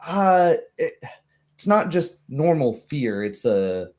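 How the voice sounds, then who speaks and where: Man playing a blunt, halting little gnome woman. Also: a little high, big pitch swings, a little mellow, pitched above the performer's own voice. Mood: uneasy, blunt. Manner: halting, rambling, informal. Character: blunt, small gnome woman, knowledgeable and shaken. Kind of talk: tabletop roleplay dialogue.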